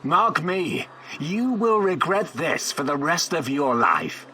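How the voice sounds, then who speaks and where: An actor playing a contemptuous, threatening male villain in a video game, imperious and deliberate. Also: fairly high pitch, very animated, bright, forceful tone, slight background noise, exaggerated voice. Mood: bitter threat. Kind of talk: video game character acting.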